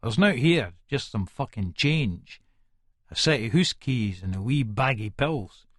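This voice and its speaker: A man's voice: medium pitch, lively intonation, unhurried, fairly bright tone, no room sound, studio quality. An actor with an Edinburgh Scottish accent playing a violent, volatile hard man who narrates an audiobook in the first person, sweary and aggressive. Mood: angry, gruff. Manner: aggressive, menacing, sweary, colloquial.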